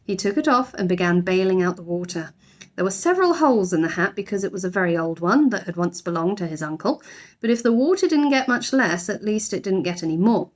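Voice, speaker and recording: A woman's voice: mid-range voice, animated, brisk. A woman narrating a children's storybook expressively, like a teacher reading aloud.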